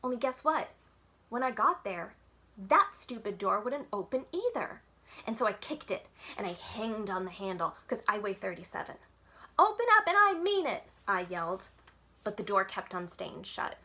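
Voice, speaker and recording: A woman's voice: mid-range voice, moderate pace, bright, forceful tone. A woman reading a children's book aloud expressively, as a library storyteller, with dramatic, childlike first-person narration.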